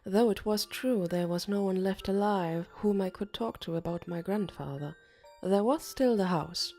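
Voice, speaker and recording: A woman narrating an audiobook as a pensive, uneasy teenage boy, in a measured, introspective way. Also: mid-range voice, darkish tone, soft background music, natural voice. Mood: pensive, resolute.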